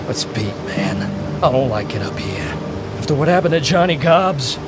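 A man's voice: medium pitch, very animated, moderate pace, very noisy, lightly acted. A man playing a nervous, superstitious petty crook in an audio drama, uneasy and streetwise. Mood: jittery. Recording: music behind the voice.

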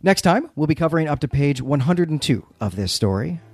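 A man's voice: on the high side, sing-song, a little fast, a little mellow, no room sound, faint music behind. Man co-hosting a podcast, close dry sound. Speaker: podcast co-host. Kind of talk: conversational story recap and literary discussion.

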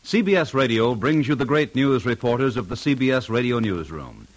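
A male radio announcer reads in an old network style. His mid-pitched voice moves widely and emphatically up and down. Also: very animated, brisk.

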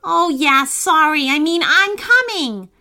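A woman's voice: sits high, animated, takes it slowly, pitched above the performer's own voice, exaggerated voice. A woman voicing a timid, apologetic boy who is easily hurt, in a children's story. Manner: soft-hearted, easily hurt.

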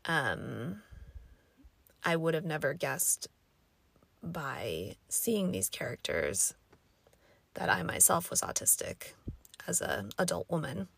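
Raspy-voiced woman podcaster, low and gravelly. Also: sing-song, slow, long pauses, fairly bright tone. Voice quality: raspy, scratchy voice. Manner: thoughtful, rambling, many fillers. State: hoarse from allergies. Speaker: podcast host. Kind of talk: casual solo podcast monologue.

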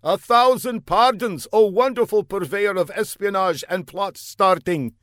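A man's voice: sits high, unhurried, a bit bright, no echo, clean studio sound, pitched above the performer's own voice. A man voicing a flustered, fawning young bearded courier, speaking stilted, overly formal broken English in a raised voice. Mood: groveling.

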